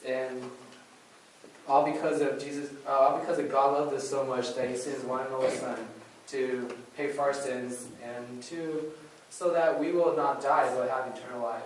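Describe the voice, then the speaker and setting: A man's voice: medium pitch, steady tone, normal speed. Echoey, distant man addressing an audience. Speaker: puppet show presenter at a church. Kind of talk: casual address to an audience, questions and prayer. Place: church hall, recorded from the audience area. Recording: very echoey room, distant mic, faint noise.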